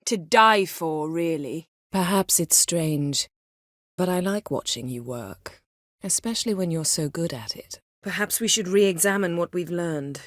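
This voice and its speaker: A woman performing a proud video game sorceress with a fairly low voice and a very dry, close sound. She is cool and commanding, with a wry, sharp tongue. Her delivery stays measured and imperious. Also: dry recording, lightly acted. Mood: dry, sardonic.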